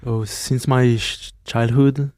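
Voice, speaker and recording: A young man from France who speaks natively, talking haltingly in an interview, searching for words with many pauses. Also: mid-range voice, steady tone, darkish tone, dry recording. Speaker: musician guest. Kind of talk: interview answers.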